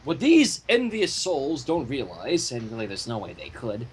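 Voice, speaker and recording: A man reading a fan fiction story aloud as a dramatic, theatrical narration with deliberate delivery. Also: mid-range voice, wildly swooping pitch, slightly rough.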